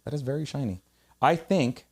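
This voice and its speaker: A man's voice: mid-range voice, normal speed, a little mellow. A man from Puerto Rico hosting a casual studio podcast interview, joking, cursing freely and often restarting his sentences. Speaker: podcast host. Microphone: studio mic.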